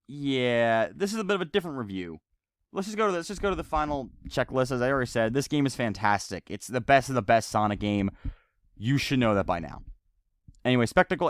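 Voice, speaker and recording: A man's voice: mid-range voice, no echo, studio quality. A man giving an unscripted, ranting video game review, stammering and repeating himself as he rambles along.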